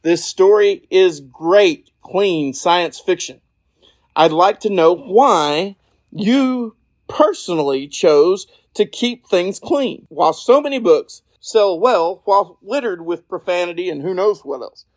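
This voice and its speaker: A woman's voice: on the low side, animated, slow, no room sound. A woman hosting a podcast interview, introducing a guest and asking questions.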